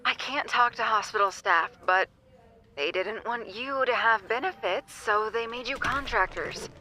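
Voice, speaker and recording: Woman playing hesitant, pleading doctor, highish voice. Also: unhurried, pushed and bright, poor audio. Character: lonely, pleading woman doctor asking old friend for help. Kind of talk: audio drama dialogue.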